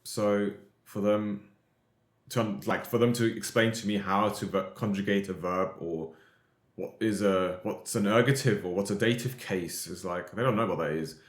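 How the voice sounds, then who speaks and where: Low-voiced man hosting in an echoey room. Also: fairly even intonation, moderate pace. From Europe. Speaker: podcast host. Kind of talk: casual conversational podcast talk.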